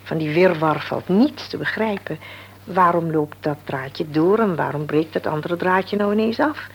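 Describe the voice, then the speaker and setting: An actress playing a middle-aged mother in a radio drama, explaining things patiently and calmly. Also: on the low side, normal speed, vocal fry, slight background noise. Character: calm, wise mother of an adult daughter.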